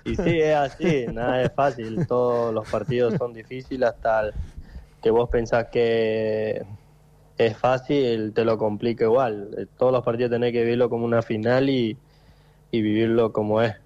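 Young Argentine footballer on a dull phone line. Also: male voice, medium pitch, fairly even intonation, darkish tone. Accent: Argentine Spanish. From Tucumán, Argentina. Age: 20s. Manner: halting, repeats words, many fillers. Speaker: footballer guest. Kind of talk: radio phone interview.

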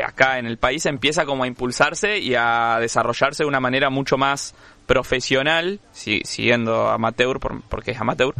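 Argentine male host, bright tone, chatty sports talk, quick to agree. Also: medium pitch, no echo. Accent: Argentine Spanish. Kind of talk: casual sports radio chat.

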